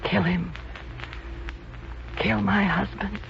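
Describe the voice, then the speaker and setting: An actress playing a bitter, scornful wife in an old radio drama, with theatrical, commanding delivery. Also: female voice, medium pitch, steady tone, takes it slowly, vocal fry. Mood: pleading, intense. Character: bitter, scornful adulterous wife plotting her husband's murder. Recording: dull lo-fi old radio, faint noise.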